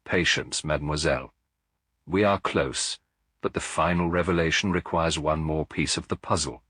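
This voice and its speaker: A man voicing a shrewd, calm Belgian gentleman detective in a mystery audiobook, deliberate, courteous and theatrical. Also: very deep voice, husky, dry recording, studio quality, heavily acted. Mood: calm, grave.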